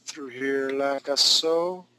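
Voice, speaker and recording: A man's voice: medium pitch, fairly even intonation, takes it slowly, pushed and bright, a little hiss or hum. A man in his thirties teaching a live drawing class, talking through each stroke with chatty joking asides. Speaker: drawing instructor.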